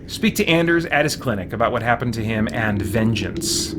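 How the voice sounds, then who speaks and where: Male game streamer: mid-pitched, casual, rambling and thinking aloud, with clear background noise. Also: expressive, normal speed. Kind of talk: casual livestream chat while playing.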